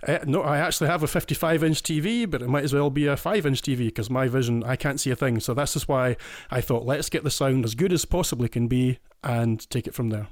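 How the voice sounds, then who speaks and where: A man's voice: medium pitch, brisk, few pauses, dry recording, clean studio sound. A Scottish man in his forties, a podcast guest, explaining things with enthusiasm. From Scotland. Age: 40s. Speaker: listener guest. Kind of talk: casual interview.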